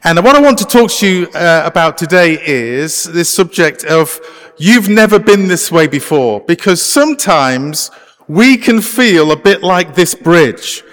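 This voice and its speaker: A man's voice: fairly high pitch, lively intonation, a little slow, fairly bright tone, big-room sound. A middle-aged man from England with an English accent, preaching a conversational sermon with many fillers. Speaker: pastor. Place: church sanctuary.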